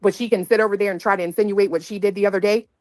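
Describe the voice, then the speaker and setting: A woman's voice: medium pitch, steady tone, very fast, darkish tone, dry recording. A woman chiming in on a livestream chat, emphatic and indignant. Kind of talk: casual livestream commentary.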